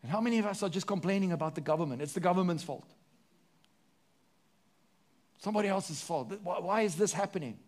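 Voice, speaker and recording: A man with a South African accent preaching a sermon to his congregation, asking the room questions and swinging between emphatic and hushed delivery. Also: high-pitched, racing. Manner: preaching with sweeping rises and drops, rhetorical questions. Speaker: pastor.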